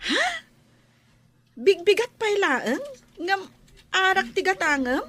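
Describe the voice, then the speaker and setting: High, bright young Ilocano niece acted by a woman. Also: animated, slow, exaggerated voice. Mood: curious. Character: polite, cheerful young niece from the city. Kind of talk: Ilocano audio drama acting.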